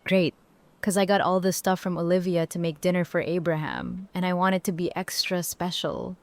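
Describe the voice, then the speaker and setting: A woman's voice: on the low side, flat delivery, moderate pace, lightly acted. A woman playing a brash, outspoken survivor of about thirty in an audio drama, blunt and casual. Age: 30s.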